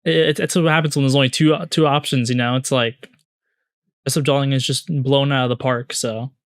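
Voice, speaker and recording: A man's voice: medium pitch, steady tone, fairly quick, no echo, clean studio sound. A man co-hosting a podcast in casual chat, informal and often repeating words as he goes. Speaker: podcast co-host.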